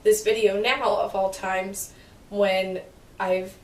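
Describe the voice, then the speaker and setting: Slow-talking woman with a sore throat. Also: medium pitch, flat delivery, echoey room, distant mic, rough recording. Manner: rambling, self-correcting, thinking aloud. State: sore throat, losing her voice. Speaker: home renovation vlogger. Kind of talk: casual explanatory talk to camera.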